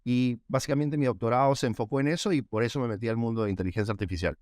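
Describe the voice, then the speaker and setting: A man's voice: mid-range voice, fairly even intonation, racing, darkish tone, no echo, studio quality. A man with a Peruvian accent talking casually as an interview guest, often adding little tag questions. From Peru. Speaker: podcast guest, AI executive.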